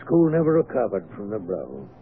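An actor playing an eager, affable but shifty English naturalist in a radio drama. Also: male voice, on the low side, wildly swooping pitch, moderate pace, a little hiss or hum. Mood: polite, wistful. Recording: phone-like, narrow-band old radio broadcast.

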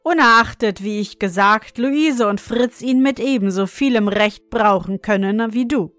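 Storybook role: woman giving voice to a firm, even father, admonishing and dry. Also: fairly high pitch, dry recording, soft background music. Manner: measured, admonishing. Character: stern, fair-minded father, calm and lecturing.

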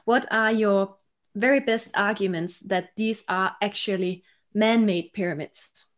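A woman's voice: medium pitch, a little slow. A woman in her thirties hosting a webinar conversationally, speaking over a video call from an indoor room. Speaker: webinar host. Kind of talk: conversational moderating.

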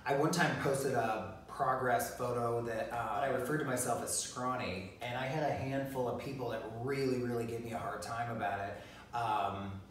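A man's voice: medium pitch, moderate pace, a little hiss or hum. Man, middle-aged, casual, lots of fillers, roomy echo, heard from a distance. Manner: rambling, many fillers and restarts. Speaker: co-host. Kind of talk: casual chat. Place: living room, seated on a leather sofa. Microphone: phone camera from across the room. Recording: very echoey room, voice off-mic.

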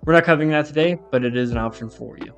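A man's voice: fairly high pitch, very fast, a bit bright, soft background music. A man presenting a step-by-step tech tutorial in an informal, conversational instructional manner. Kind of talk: casual step-by-step software walkthrough.

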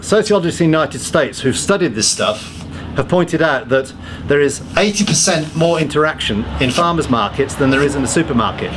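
A man's voice: on the high side, noisy background. A man giving a public talk, listing points emphatically and often restarting his sentences with self-deprecating asides. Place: pub function room.

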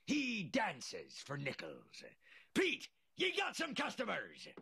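An actor playing a gruff old sea captain who growls in pirate talk, with a gravelly voice. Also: male voice, sits high, huge pitch swings, takes it slowly, heavily acted. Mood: boisterous.

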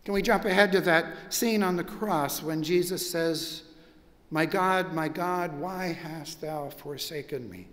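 Older pastor preaching slowly in a reverberant church. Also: male voice, a little high, a bit bright. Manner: measured, reflective preaching with drawn-out pauses. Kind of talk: sermon. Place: large church sanctuary with stone wall.

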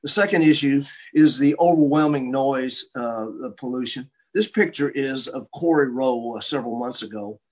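Older guy presenting at a town hall on a video call, mid-pitched voice. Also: moderate pace, few pauses, darkish tone. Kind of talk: prepared testimony on a video call. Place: home room indoors, on a video call. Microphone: computer mic on video call.

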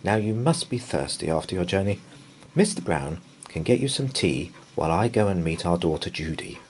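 A man's voice: low-pitched, sing-song, normal speed, darkish tone, slight background noise. A man voicing a kindly, fretful married woman in a storybook, exclamatory and fussing. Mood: decisive.

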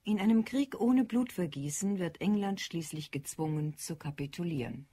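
A woman narrating a radio play like a factual news report, in crisp declarative sentences. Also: on the low side, lively intonation, normal speed, mellow, slight background noise. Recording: studio voice over clear music bed.

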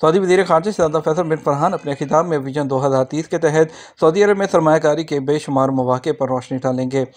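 A man's voice: a little high, fast, few pauses, a little mellow. A man reading scripted news items as a narrator for an online channel, in a formal manner.

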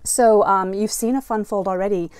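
A middle-aged woman teaching a live card-making class from her craft room, chatting and greeting viewers as she demonstrates, feeling a bit foggy and slow today. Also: mid-range voice, steady tone, a little mellow, clean tone, no room sound.

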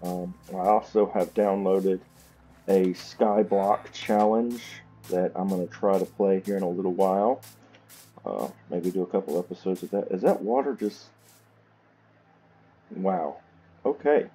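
A man's voice: low voice, measured pace, mellow. A man with a Southern US accent rambling casually to himself as he gives gameplay commentary. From Southern United States. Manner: self-talking, rambling. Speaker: gaming series host. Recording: clearly noisy home recording with clicks.

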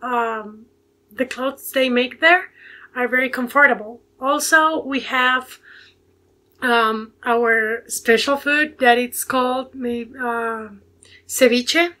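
Bright-voiced young Ecuadorian woman. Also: a little high, takes it slowly. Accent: Ecuadorian Spanish. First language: Spanish. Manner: hesitant, many fillers, searching for words. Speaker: guest. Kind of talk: casual video call interview. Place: home bedroom with bookshelves. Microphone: earphone mic on a video call.

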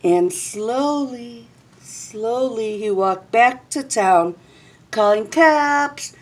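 A middle-aged woman reading a children's story aloud expressively, with dramatic pauses and drawn-out words. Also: on the high side. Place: small indoor room.

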